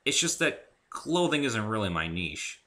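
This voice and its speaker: Man as casual, bright-voiced story hero. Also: fairly low pitch, sing-song, measured pace, pitched above the performer's own voice, full character voice. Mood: wary, curious. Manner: casual, wary. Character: polite, slightly awkward human man, story's hero.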